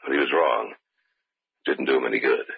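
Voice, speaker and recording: An actor playing a fearful man who survived a doomed expedition, speaking gravely and deliberately in old-time radio drama style. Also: very deep voice, fairly even intonation, exaggerated voice. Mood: fearful, resigned. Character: haunted, fearful male expedition survivor recounting doom. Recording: muffled old radio broadcast, loud music behind.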